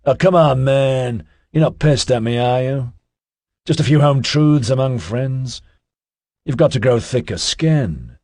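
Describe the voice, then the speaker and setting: Man doing cocky slangy young guy, raised pitch, husky edge, loud swaggering talk. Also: unhurried, no room sound. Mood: cajoling. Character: brash slangy laid-back young man.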